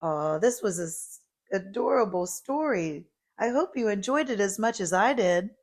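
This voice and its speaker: A woman reading a picture book aloud to preschoolers in an expressive storytelling way. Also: mid-range voice. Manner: deliberate, animated storytelling. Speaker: children's read-aloud host.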